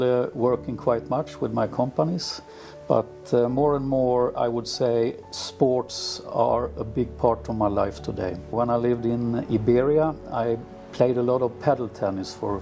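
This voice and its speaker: A middle-aged man who grew up in Sweden, answering interview questions in an unhurried way with many fillers and restarts. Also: medium pitch, warm tone. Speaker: villa owner. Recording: music playing underneath.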